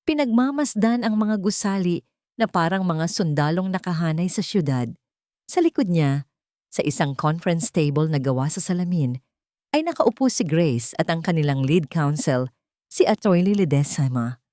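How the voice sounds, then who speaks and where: A woman narrating a scripted audio drama in a solemn, deliberate and suspenseful manner. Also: lowish voice, expressive, a little mellow, dry recording, clean studio sound.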